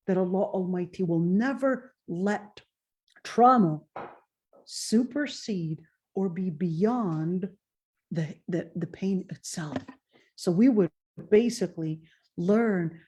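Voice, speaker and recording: A woman lecturing on faith and hardship, speaking earnestly, slowing down on emphatic phrases and reciting Arabic verses. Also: medium pitch, warm tone. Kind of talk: Islamic lecture.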